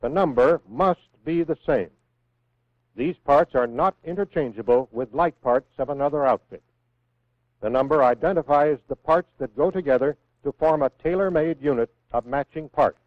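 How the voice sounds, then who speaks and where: An American man reading scripted instructions in a measured, clearly enunciated way, as the narrator of a 1960s military training film. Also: a little high, dry recording. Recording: phone-like vintage 1960s film soundtrack.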